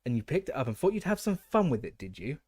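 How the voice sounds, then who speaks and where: A man's voice: mid-range voice, very animated, fast talker, darkish tone, husky, no room sound, voice pushed up. A stern middle-aged wizard official barks and accuses, pushing hard on every word, played by a male audiobook reader. The reading is dry and close to the mic. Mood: stern accusing. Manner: barking, accusing.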